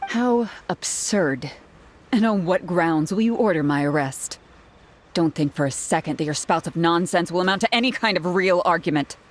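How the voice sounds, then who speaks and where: A woman's voice: lowish voice, expressive, normal speed. A woman voicing a bitter, cornered female villain and turncoat guard in a video game, with a scornful, theatrical, volatile delivery. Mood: haughty, defiant. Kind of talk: dramatic video game voice acting.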